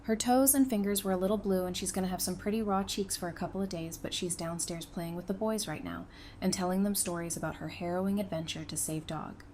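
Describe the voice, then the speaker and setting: A woman's voice: fairly low pitch, steady tone, fast, a little mellow, natural voice. Actress as a frank, dry-witted woman, faint noise. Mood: matter-of-fact. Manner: dry, direct. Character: frank, dry-witted woman guarding a captive, quietly caring. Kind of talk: audio drama acting.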